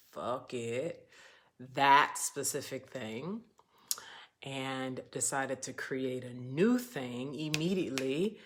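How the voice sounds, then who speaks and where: A woman talking slowly and deliberately on a livestream as a spiritual teacher, with some passages dropped to a soft whisper. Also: a bass voice. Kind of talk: livestream monologue. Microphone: webcam or phone on camera.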